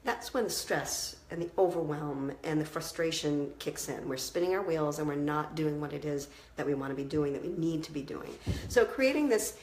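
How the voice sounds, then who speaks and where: A woman's voice: sits low, expressive, normal speed, few pauses, a little mellow, roomy sound, rough recording. A woman business coach, congested from a cold, giving a conversational, encouraging talk on planning goals. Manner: conversational, encouraging, with frequent restarts. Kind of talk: instructional talk to camera.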